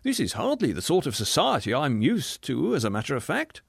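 A male narrator gives us a tiny, scowling man with a snobbish, sniffy manner, talking down to those around him. His voice lifts high with animated pitch. The sound is close and dry. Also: fairly quick, vocal fry, slightly rough, dry recording, exaggerated voice. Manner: sniffy, superior.

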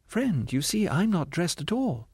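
A man's voice: on the high side, very animated, unhurried, breathy edge, no room sound, clean studio sound, pitched above the performer's own voice, heavily acted. Man voicing a kindly, lilting heavenly spirit. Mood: coaxing. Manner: gentle, earnest, coaxing. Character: kindly, patient, cheerful bright spirit from heaven. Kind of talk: audiobook character voice.

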